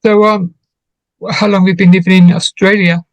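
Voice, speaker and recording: A man working as a radio interviewer, putting casual questions in a halting way and repeating words as he feels for them. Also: sits high, level tone.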